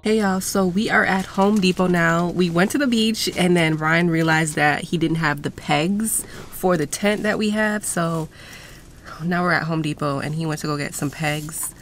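A woman's voice: on the low side, moderate pace, soft background music. Chatty young woman vlogging from a car. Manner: chatty, laid-back, swears casually. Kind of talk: casual vlog talk to camera. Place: parked car. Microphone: phone camera at arm's length.

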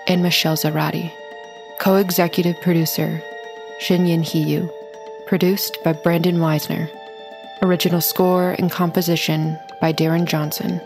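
A woman announcer giving a scripted read of end credits. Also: on the low side.

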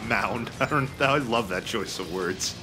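A man's voice: on the low side, measured pace. A man casually bantering over video game play, dropping offhand quips as a let's play commentator. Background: video game music.

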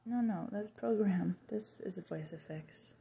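A woman's voice: medium pitch, unhurried, creaky, warm tone, slightly rough. A woman chatting casually at home while filming, giving short instructions and prompts to a child as she demonstrates a gadget. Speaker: mother filming a family video. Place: indoors in a tiled room at home. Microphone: handheld camera or phone, off screen.

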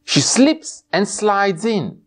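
A man in his forties from Romania reading a children's storybook aloud as an expressive host, drawing out his words. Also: sits high, slow. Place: small room indoors.

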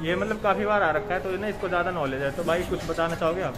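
A young man chatting casually as he vlogs his walk through a mall, filming on a phone held at arm's length. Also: high-pitched. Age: young adult. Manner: brisk, chatty, addresses viewers as brother. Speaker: vlogger. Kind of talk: casual walking vlog. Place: large indoor shopping mall. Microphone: phone camera at arm's length. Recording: busy mall with loud music and crowd.

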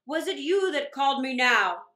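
A woman's voice: sits high, big pitch swings, moderate pace, pushed and bright, reverberant, full character voice. A woman voicing a brave male samurai warrior in a storybook, direct and forthright. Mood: bold questioning. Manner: direct, bold, questioning.

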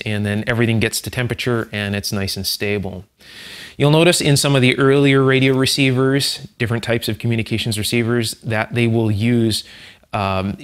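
A man hosting a hands-on electronics teardown, explaining things in an unhurried, methodical, conversational way. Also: medium pitch. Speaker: host, electronics restorer and teacher. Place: indoor electronics workshop, at the bench.

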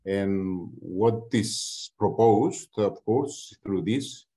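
Older male academic, deep dark voice, calm measured delivery. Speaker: guest speaker, professor. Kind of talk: opening a presentation on a video call. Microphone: computer mic on a video call.

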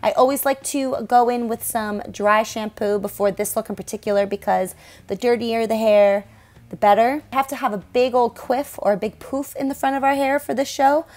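A woman's voice: a little high, fairly even intonation, normal speed, darkish tone. A young woman talks loosely and chattily as she narrates her own stage makeup and hair routine. Her delivery is casual and self-deprecating, broken by frequent small laughs. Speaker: stage performer and makeup vlogger. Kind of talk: casual tutorial voice-over.

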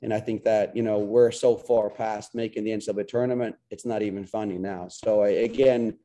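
A man's voice: on the low side, steady tone, fairly quick, mellow. A man in his forties, a head coach answering questions after a game over a video call from a small locker room, earnest and restarting his sentences. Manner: earnest, repeats words when starting sentences. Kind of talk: post-game press conference answers. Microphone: video call webcam.

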